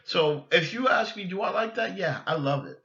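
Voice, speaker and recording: A middle-aged man hosting a sports podcast solo, giving opinions emphatically and haltingly, repeating phrases as he goes. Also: high voice, animated, vocal fry, bright, forceful tone, muffled recording.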